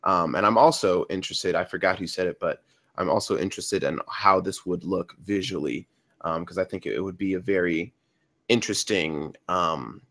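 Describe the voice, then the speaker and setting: A man giving casual feedback in a rambling, halting way. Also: sits low, fairly bright tone. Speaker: table read narrator giving feedback.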